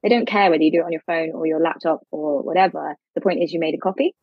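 A woman lawyer, explaining legal points carefully in a podcast interview, with a husky voice. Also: sits low, fast, dry recording, lo-fi sound. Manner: articulate, explains carefully with fillers. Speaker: lawyer guest.

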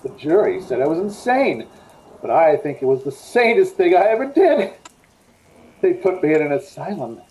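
An actor playing an unrepentant, menacing escaped madman in old-time radio suspense style, speaking in a halting, deliberate way. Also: a little high, very animated, a little mellow, low-quality recording. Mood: cold, matter-of-fact. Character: escaped insane male killer, brooding, unrepentant, menacing. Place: indoor room at home, on a video call.

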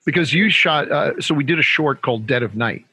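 A man's voice: on the high side, animated, a little fast, sharp tone, breathy edge, rough recording. An older man co-hosting over a headset mic, enthusiastic and full of praise. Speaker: co-host. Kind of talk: casual interview chat.

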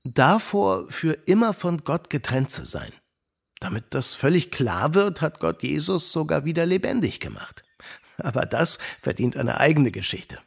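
A man playing a kind, wise uncle who gently instructs children in an audio drama. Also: on the high side, sing-song, a little slow, gravelly, slightly rough, full character voice. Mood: earnest.

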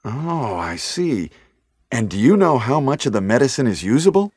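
Husky, earnest action hero man. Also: mid-range voice, very animated, normal speed, a little mellow, dry recording, studio quality. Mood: calm, reassuring. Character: earnest young male police action hero. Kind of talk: stilted 1990s video game voice acting.

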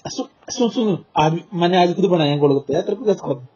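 A young man in a casual phone chat, giving placating, agreeing replies over a loudspeaker call. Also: sits high, animated, brisk, slightly rough. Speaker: gamer, YouTuber. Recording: muffled lo-fi phone call recording.

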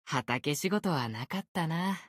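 A man's voice: on the high side, expressive, takes it slowly, fairly bright tone, no room sound, clean studio sound, exaggerated voice. A man voicing an easygoing, unfazed ancient sword spirit in youthful male form for a game, with languid, nonchalant phrasing. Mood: airy, nonchalant. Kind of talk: Japanese game character voice acting.

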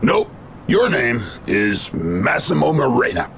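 A man playing a cocky, wisecracking tough soldier in a video game, with a gravelly, deadpan delivery. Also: medium pitch, takes it slowly, a little hiss or hum, exaggerated voice. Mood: grave, resolute. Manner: deadpan, quipping.

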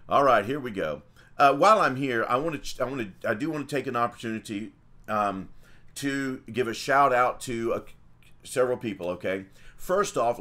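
Folksy priest, rambling livestream chat. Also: male voice, on the low side, fairly bright tone. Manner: folksy, rambling with fillers and restarts. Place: small home room with icons.

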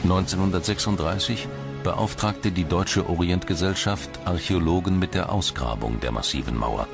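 A man's voice: low voice, moderate pace, gravelly. A man reading scripted documentary narration in standard German. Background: background music.

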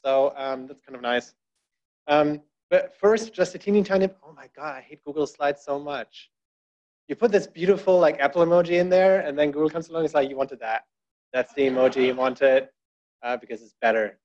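A man's voice: on the high side, a little fast, a little mellow. A man giving a conversational talk at a tech meetup from a podium, with many fillers and wry asides. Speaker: conference speaker. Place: dark presentation room. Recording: dull lo-fi room recording.

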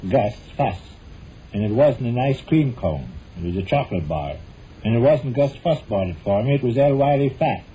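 An actor playing a stubborn, long-suffering husband with dry, pompous formality in a 1940s radio comedy. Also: male voice, medium pitch, lively intonation, normal speed, warm tone, lightly acted. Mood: correcting. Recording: muffled, noisy old 1940s radio broadcast.

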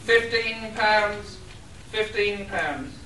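A man's voice: high-pitched, pushed and bright, slight background noise. A man auctioning lots for charity, reading descriptions with wry asides. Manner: wry, drawn-out delivery. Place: hotel function room at a convention. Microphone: far room camera. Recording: echoey room, distant camera.

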